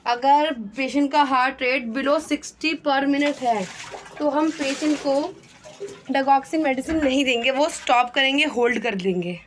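A woman tutoring for a nursing exam, reading each question slowly and then explaining the answer in mixed Hindi and English. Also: high voice, a little hiss or hum. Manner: mixes Hindi and English, repeats "ठीक है". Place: voice-over on screen recording.

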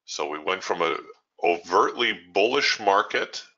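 A man hosting a trading training room, thinking aloud in a relaxed way as he walks through live trades. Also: sits low, measured pace, lo-fi sound. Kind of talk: live trading commentary over screen share.

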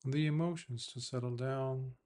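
A man with a medium-pitched voice teaches meditation. He speaks in short, measured phrases and leaves long pauses between them, giving instructions as a Buddhist monk would to students. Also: fairly even intonation, a little mellow.

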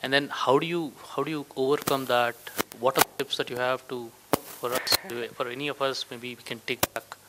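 A man asking a question from the audience, halting and searching for words. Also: medium pitch, steady tone. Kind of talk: spontaneous question from the floor. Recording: hissy, noisy conference hall audio.

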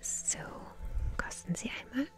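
A woman whispers gently and politely close to the microphone, as a shopkeeper in an ASMR roleplay. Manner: gentle, unhurried, polite customer service. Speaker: ASMR creator playing a tea shop owner. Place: small indoor room. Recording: soft music behind close whisper. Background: relaxing music.

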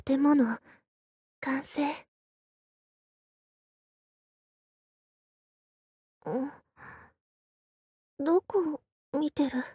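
An actress playing a quiet, reserved young female soldier in a game, soft-spoken and deadpan, speaking in short halting phrases. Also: high voice, no echo, clean studio sound. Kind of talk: Japanese game character voice lines.

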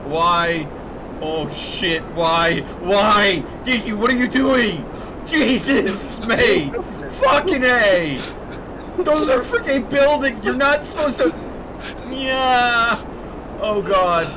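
High pitched 30s guy gaming on stream, excitable n sweary, vehicle noise behind him. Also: slow pace. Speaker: game streamer. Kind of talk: casual gaming livestream chat. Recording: noisy game vehicle sounds behind. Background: video game engine and vehicle noise.

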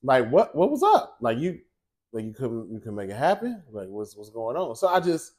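A man in his forties hosting a casual home cooking show from his kitchen, talking straight to viewers in an animated, chatty way. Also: mid-range voice, normal speed. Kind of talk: casual cooking chat and monologue.

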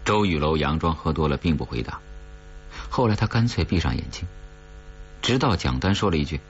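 Very deep-voiced man narrating suspense. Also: lively intonation, dull audio, a little hiss or hum. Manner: measured, suspenseful delivery with deliberate pauses. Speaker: audiobook narrator. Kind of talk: dramatic horror story narration.

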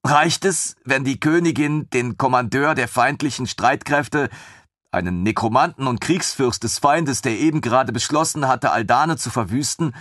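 A man voicing a woman in an audiobook who is half laughing, half crying, speaking strained and breathy. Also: medium pitch, moderate pace, fairly bright tone, dry recording, studio quality, pitched above the performer's own voice, close to an everyday voice. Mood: shaken. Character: tearful laughing woman, shaken but composing herself. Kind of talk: audiobook reading.

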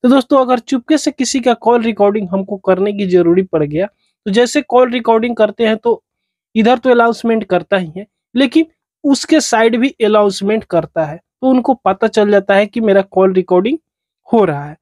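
A man's voice: sits high, normal speed, a little mellow, dry recording. Man giving dry-sounding phone tutorial. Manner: repetitive, instructional phrasing. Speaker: tech tutorial host. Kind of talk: step-by-step phone tutorial narration.

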